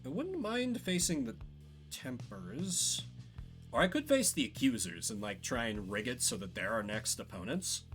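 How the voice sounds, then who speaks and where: A man's voice: medium pitch, sing-song, moderate pace, a bit bright, faint music behind. A man giving casual game commentary, thinking aloud and reading out story narration as he plays. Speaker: streamer playing and solo-voicing a story game.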